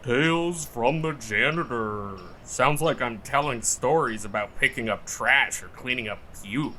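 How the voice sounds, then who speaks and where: A man's voice: mid-range voice, unhurried, slight background noise. A man playing a cocky, wisecracking would-be podcast storyteller in naturalistic audio drama dialogue, with a flippant manner. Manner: flippant, deadpan joking.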